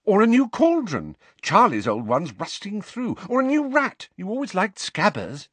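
A man acts out a proud, fussing storybook mum of middle age. The voice is breathless and pitched high, gushing with fondness and swooping freely from note to note. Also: huge pitch swings, normal speed, no room sound, exaggerated voice. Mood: breathless, fond. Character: doting, flustered middle-aged mother, tearfully proud.

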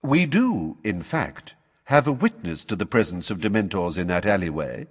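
A composed, courteous wizard played by a male narrator in an audiobook. He is pleasant yet firm, with a husky voice carried on long reverb. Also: lowish voice, sing-song. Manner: pleasant, measured, politely firm.

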